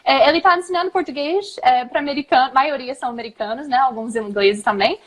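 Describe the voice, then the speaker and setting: A young American woman from the southeastern United States, co-hosting a casual live chat as an English teacher, chatty and quick to laugh, switching between English and Portuguese. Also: high voice. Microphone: webcam or phone on a video call.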